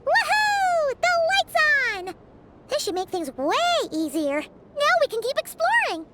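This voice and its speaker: Super high bouncy little fairy sidekick, very chirpy, game music behind. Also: female voice, a little slow, very noisy, full character voice. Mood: excited. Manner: chirpy, excitable, talks about herself in third person. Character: small excitable floating fairy companion girl. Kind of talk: game voice acting.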